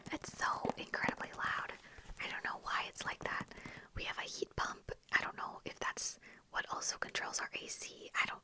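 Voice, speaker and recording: A woman speaks entirely in a soft, breathy whisper, as an ASMR host would. She chats to her viewers in an informal, rambling way, with hardly any voiced sound in her words. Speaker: video host talking to viewers. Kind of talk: whispered ASMR movie chat.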